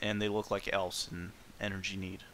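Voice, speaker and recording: A man's voice: sits low, level tone, normal speed, fairly bright tone, slight background noise. A man giving rambling, informal playthrough commentary as a game streamer, with game music behind him.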